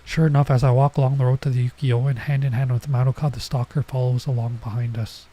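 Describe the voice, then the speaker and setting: A man's voice: mid-range voice, flat delivery, fast, warm tone. A man playing a visual novel and chatting casually, his voice nasal and stuffed up as he comes down with a cold. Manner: rambling, chatty asides. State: coming down with a cold. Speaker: streamer. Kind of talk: casual let's play commentary.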